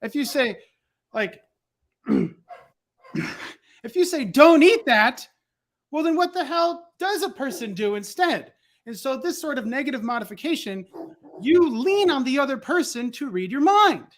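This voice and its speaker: A man's voice: extremely high pitch, big pitch swings, measured pace, pauses often. A man explaining ideas conversationally as a podcast guest, analytical and precise in wording, pressing points with emphatic rhetorical questions.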